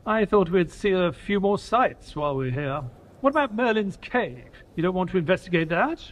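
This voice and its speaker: A high-voiced, sceptical detective's sidekick. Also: male voice, normal speed. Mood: exasperated, sceptical. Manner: sceptical, expressive. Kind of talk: scripted audio drama dialogue and narration.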